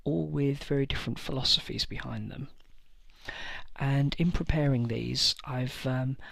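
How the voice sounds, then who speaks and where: A man talks casually to his viewers about building army lists, pausing often to gather his thoughts. His voice has a gravelly fry, yet a bright, forceful edge. The recording is close and dry, with no room sound around him. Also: mid-range voice, dry recording. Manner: hesitant, many fillers and pauses. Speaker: tabletop wargaming channel host. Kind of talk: casual list-building talk to camera.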